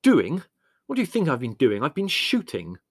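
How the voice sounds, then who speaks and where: Man performing pompous young man, sarcastic, indignant, audiobook character, highly animated delivery, dry close sound. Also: brisk, dry recording. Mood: bitingly sarcastic. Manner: bitter, sarcastic, elaborate indignant phrasing.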